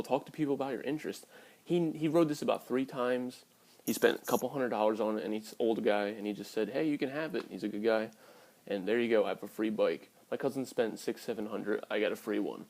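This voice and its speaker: A man's voice: fairly low pitch, fairly quick, a little mellow. A man in his early twenties talking casually and candidly to his viewers in a blunt, sweary vlog style. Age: early 20s. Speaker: vlogger.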